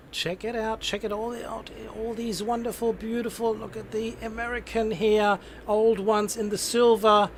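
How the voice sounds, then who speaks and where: High-pitched enthusiastic male game streamer. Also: a little hiss or hum. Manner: enthusiastic, repeats phrases for emphasis. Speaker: live stream host. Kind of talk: casual live game-stream commentary.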